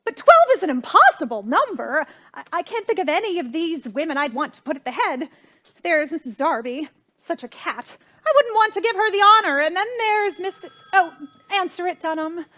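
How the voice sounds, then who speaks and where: Very high-pitched, flustered society hostess. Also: female voice, big pitch swings, moderate pace, bright, forceful tone, heavily acted. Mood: scheming, put out. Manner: fretful, gushing, dramatic, imperious. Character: flustered, imperious society hostess, a socially ambitious mother. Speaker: stage actress. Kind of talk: theatrical period comedy acting.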